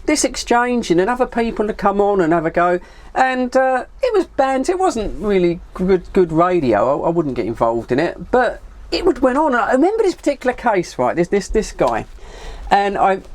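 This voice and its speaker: A man's voice: high-pitched, very animated, moderate pace. A middle-aged man with a southern English accent, chatting casually and rambling through anecdotes in colloquial language. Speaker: vlogger, CB radio hobbyist.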